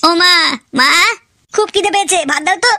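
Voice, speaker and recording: A very high, pitched-up cartoon voice of a foul-mouthed young man. He rants and swears at great speed, sharp and bright, always fed up and ready to boil over. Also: a little fast, no room sound, clean studio sound, soft background music, full character voice. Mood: exasperated. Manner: ranting, swearing constantly. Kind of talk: comedy cartoon dialogue.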